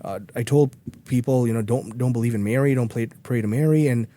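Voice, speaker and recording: Mellow-voiced man. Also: mid-range voice, fairly quick, no room sound. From Malaysia. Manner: earnest and emphatic, with frequent restarts. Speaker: podcast guest. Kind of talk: conversational interview.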